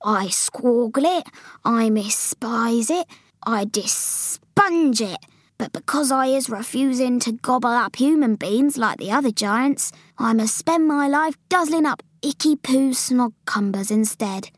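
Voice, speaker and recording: A woman's voice: fairly high pitch, unhurried, no room sound, clean studio sound. A woman voicing a very old, forlorn but friendly male giant in a storybook, drawing out mangled words with great emphasis. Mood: disgusted, then forlorn, earnest.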